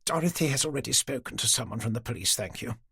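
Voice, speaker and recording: A curt, protective younger woman, as a male narrator puts her on, with clipped, brisk lines. The voice is husky with some fry, and the recording is dry. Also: extremely low pitch, lively intonation, fairly bright tone, dry recording, heavily acted.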